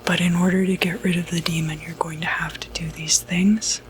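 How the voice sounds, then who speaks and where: A woman playing a gentle, reassuring doctor who treats demonic possession, in a hushed, soothing ASMR medical roleplay. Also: fairly low pitch, flat delivery, a little hiss or hum, lightly acted, low-quality recording. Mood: calm, reassuring. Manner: hushed, unhurried, hesitant, soothing.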